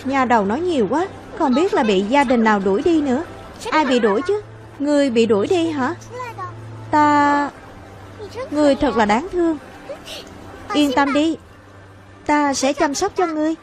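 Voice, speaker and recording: A woman's voice: high voice, animated, moderate pace, pauses often. A woman voicing brash, bickering young heroes in a fairy-tale cartoon dub for children. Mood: teasing. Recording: music behind the voice.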